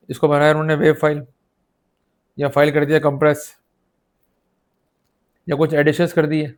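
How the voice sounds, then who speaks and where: A man with an Indian accent teaching law in an explanatory, didactic lecture style. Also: fairly high pitch. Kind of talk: explanatory legal lecture mixing Hindi and English.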